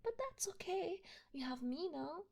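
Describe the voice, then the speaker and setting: A woman playing an obsessive, lovestruck yandere kidnapper in an ASMR roleplay, doting and possessive, with a breathy voice that often drops into whispers. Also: very high voice, animated, full character voice. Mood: doting, rambling. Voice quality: breathy, frequently dropping into whispers. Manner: soft, coaxing, slow, with hesitant pauses. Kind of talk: ASMR roleplay, intimate one-sided address to the listener.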